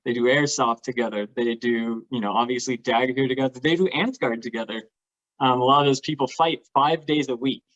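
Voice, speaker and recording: A man with a middle-pitched voice explains his hobby in an enthusiastic, casual way. The recording is slightly dull and lo-fi, like an online chat. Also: fairly even intonation, fairly quick, fairly bright tone. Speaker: guest, LARP participant.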